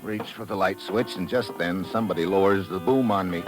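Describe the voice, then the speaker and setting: A cool, hard-boiled investigator played by a man in a vintage radio drama. His voice sits very low, and he speaks in a dry, understated way, whether questioning someone or narrating his case. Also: fairly quick, over loud music, natural voice. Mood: brooding narration.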